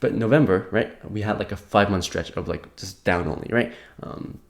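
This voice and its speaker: A man's voice: lowish voice, a little fast, creaky. A young man giving a casual market update while slightly sick, rambling with many fillers and restarts. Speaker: crypto market commentator.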